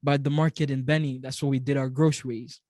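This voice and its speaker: A man's voice: mid-range voice, flat delivery, fast, dry recording, clean studio sound. A young man from Congo, a native Swahili speaker, giving a motivational talk as a storyteller, often repeating words as he goes. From Democratic Republic of Congo. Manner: warm storytelling with frequent repeated words and chuckles.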